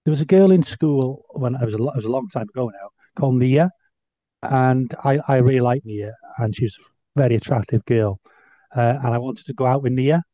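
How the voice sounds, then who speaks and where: A man's voice: medium pitch, a little fast, no room sound, highs cut off. A man chatting on a podcast, making his points with wry, hesitantly built-up anecdotes. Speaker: podcast panellist. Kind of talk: casual anecdotal discussion.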